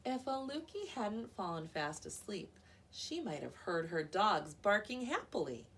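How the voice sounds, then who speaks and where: A woman teacher reading a picture book to young children in an expressive way, often prompting them with questions. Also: medium pitch, measured pace, far from the mic, a little hiss or hum. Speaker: preschool teacher.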